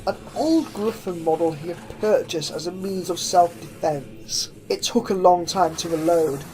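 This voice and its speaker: A woman narrates a horror story in a theatrical, suspenseful way, with drawn-out phrases and hushed drops. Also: lowish voice, rough recording. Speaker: fan fiction narrator. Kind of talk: dramatic horror story reading. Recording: clear background noise with ambience.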